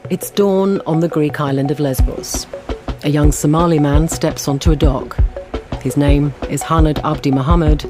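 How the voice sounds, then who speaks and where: A woman's voice: low voice, steady tone, normal speed, music in the background. A woman journalist reading scripted documentary narration in a storytelling style.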